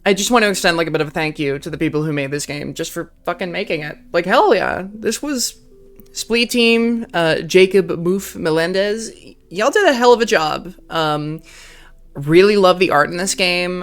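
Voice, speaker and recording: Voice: medium pitch, moderate pace, fairly bright tone. A streamer wrapping up a live game session in casual chat, talking freely with lots of "like" and swearing.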